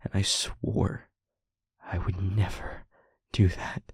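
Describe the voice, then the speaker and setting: A low, gravelly male demon, acted out by a man, trapped and anguished, confesses to someone he loves. He speaks slowly and haltingly in an intimate audio drama, each phrase heavy with feeling. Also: little pitch movement, no room sound, studio quality, exaggerated voice. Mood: pained, regretful. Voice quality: husky, breathy, gravelly half-whisper. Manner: halting, slow, emotionally weighted delivery.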